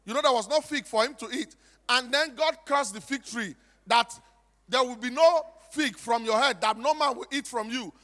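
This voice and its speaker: A man's voice: very high voice, normal speed, sharp tone. A Nigerian-accented man speaking to an audience as a stage storyteller in a preaching style, emphatic and repetitive, often putting rhetorical questions to his listeners. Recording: echoey hall with long reverb.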